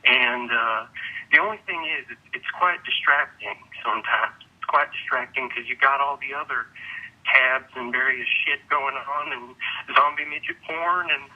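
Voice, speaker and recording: A man's voice: mid-range voice, moderate pace. A man from Atlanta calling a phone-in show with casual remarks. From Atlanta, United States. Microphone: telephone. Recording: dull, husky telephone line.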